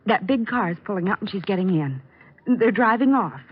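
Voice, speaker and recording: A proper, insistent sweetheart with a husky, lively voice. She scolds earnestly in old radio drama style, on a dull vintage recording. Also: mid-range voice, a little fast. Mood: earnest concern. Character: detective's proper, easily embarrassed, insistent sweetheart.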